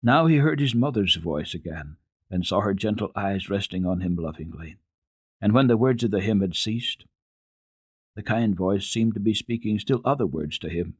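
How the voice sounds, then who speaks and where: A man narrating a children's book as a radio storyteller, reading dramatically in a measured, earnest manner. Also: lowish voice, expressive, husky, no echo, studio quality. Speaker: storyteller, radio program host.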